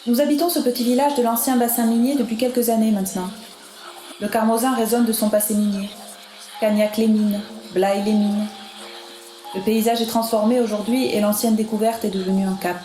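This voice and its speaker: A woman narrates a radio piece from a script. Her voice is soft-toned and dark, recorded with noticeable room echo over faint music. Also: a little high, steady tone, fairly quick. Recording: echoey room sound.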